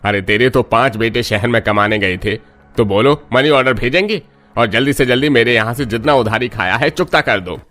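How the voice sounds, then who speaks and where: A man's voice: mid-range voice, a little fast, fairly bright tone, natural voice. A man voicing a greedy, two-faced village grocer in a cartoon, with a theatrical, sneering delivery. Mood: sneering, scolding.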